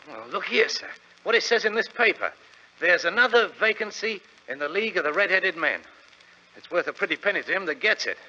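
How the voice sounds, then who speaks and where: An actor playing an eager, wheedling young shop assistant, deferential and persuasive, in 1940s radio drama style. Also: male voice, high voice, brisk, heavily acted. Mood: excited. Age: young adult. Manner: excitable, obsequious, persuasive. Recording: dull vintage radio recording.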